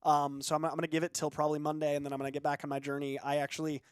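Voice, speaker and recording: Fast-talking man in his thirties. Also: fairly high pitch, monotone, smooth, dry recording, studio quality. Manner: fast, chatty, self-deprecating. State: recovering from illness. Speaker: co-host. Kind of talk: casual chat.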